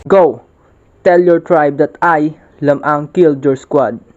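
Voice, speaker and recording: A man's voice: on the high side, fairly even intonation, slow pace, darkish tone. A man playing a bold, proud young epic hero and devoted son in readers' theater, speaking formally. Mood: defiant. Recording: dull lo-fi recording.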